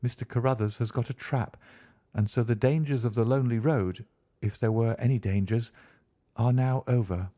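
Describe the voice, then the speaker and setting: A man's voice: mid-range voice, moderate pace, dark tone, no echo, clean studio sound, close to an everyday voice. A man voicing an anxious, indignant young genteel Victorian woman in a prim, earnest manner for an audiobook. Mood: earnest, uneasy. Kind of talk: audiobook character reading.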